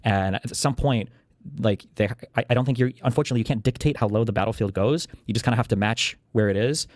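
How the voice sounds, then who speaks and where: A man's voice: mid-range voice, quick pace, dry recording, clean studio sound. A man in casual political discussion, talking in a loose, rambling way padded with filler words.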